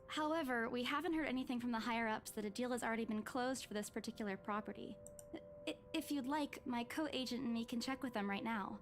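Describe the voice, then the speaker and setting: An actress plays a young woman estate agent in a visual novel, courteous and eager to reassure. Her voice is high, and light music sits underneath. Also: quick pace, lightly acted. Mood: polite, tentative. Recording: music behind the voice.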